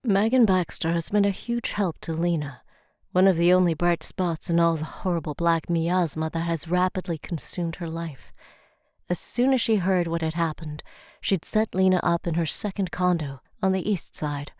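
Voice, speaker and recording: A woman reading scripted audio drama narration in a deliberate, somber storytelling manner. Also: lowish voice, steady tone, a little mellow, no room sound, clean studio sound.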